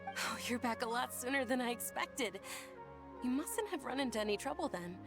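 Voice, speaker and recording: A woman voicing a gentle, thoughtful young noblewoman in a game, soft-spoken and earnest. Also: a little high, animated, normal speed, over music. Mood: calm. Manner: soft-spoken and earnest, with hushed breathy moments.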